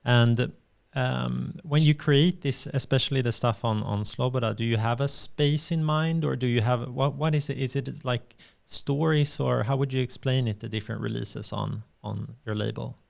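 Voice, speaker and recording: A man hosting a podcast interview, asking measured questions and giving constant soft backchannels. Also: medium pitch, fairly even intonation, vocal fry. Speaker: podcast host.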